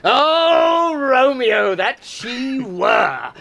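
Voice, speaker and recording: An actor playing a bawdy, playful young gallant in a Shakespearean audio play, teasing theatrically through the verse. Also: male voice, very high voice, big pitch swings, drawn-out words, vocal fry, pushed and bright, muffled recording, full character voice. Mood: drunken playfulness. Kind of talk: Shakespearean radio play acting.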